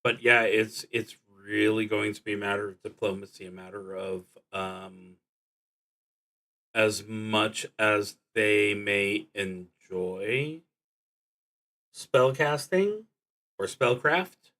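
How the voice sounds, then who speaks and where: A man streaming a solo tabletop roleplaying game, thinking aloud in a halting, deliberate way with pauses mid-thought. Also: fairly low pitch, a bit bright, low-quality recording.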